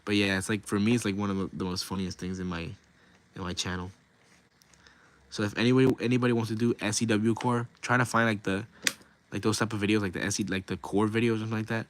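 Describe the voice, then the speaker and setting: A man hosting a casual livestream, rambling with lots of fillers, his voice raspy and hoarse from talking nonstop. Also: fairly low pitch, flat delivery, fast talker, a little hiss or hum. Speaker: livestream host and wrestling video creator.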